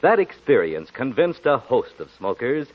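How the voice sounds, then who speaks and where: Casting: male old-time radio pitchman, middle pitch, extremely varied intonation, tinny band-limited sound. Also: sing-song, moderate pace. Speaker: radio commercial announcer. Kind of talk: scripted 1940s radio advertising pitch.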